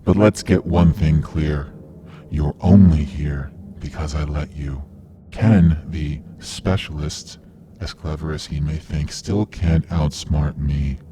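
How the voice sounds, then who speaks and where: Man acting a polite menacing mastermind, extremely deep, condescending, radio play, faint ambient noise. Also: fairly even intonation, slow pace, mellow. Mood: smug menace. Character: calm, masked male mastermind villain, smugly controlling.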